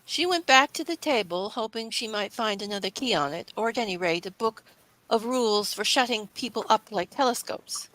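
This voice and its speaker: A woman's voice: on the high side, animated, moderate pace, a bit bright, rough recording. A woman reading scripted children's story narration as the storybook's narrator.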